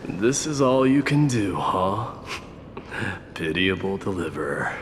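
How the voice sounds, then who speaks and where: A man voicing a proud young warrior prince in a video game, haughty, sardonic and deliberate. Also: low-pitched, big pitch swings, background noise, exaggerated voice. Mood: taunting. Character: proud, gruff young warrior crown prince, mocking rival. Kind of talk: anime-style video game voice acting. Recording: echoey hall reverb, music behind.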